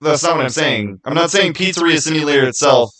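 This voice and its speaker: A man's voice: on the high side, lively intonation, fast, fairly bright tone. A man hosting a live stream, talking casually with viewers in an emphatic, insistent way and often repeating his phrases. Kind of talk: casual live chat with viewers, arguing game theories.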